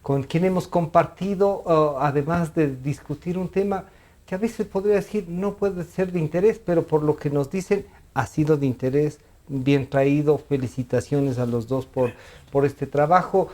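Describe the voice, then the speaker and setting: A man's voice: a little high, normal speed, darkish tone. A man hosting a radio interview, asking questions and acknowledging guests often with short replies. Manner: frequent short acknowledgements, friendly closing.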